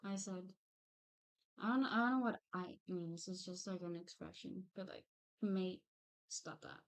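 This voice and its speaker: A woman's voice: lowish voice, measured pace, clear, resonant tone. Giggly woman teasing off camera. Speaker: streamer's girlfriend. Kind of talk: casual off-camera chat.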